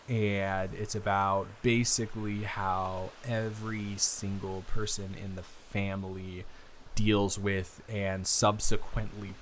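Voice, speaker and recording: A man playing a friendly, bookish young guy, his best friend's brother, chatting casually and haltingly in an improvised roleplay audio. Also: on the low side, a bit bright, slight background noise, low-quality recording. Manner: halting, rambling, self-interrupting with repeated restarts.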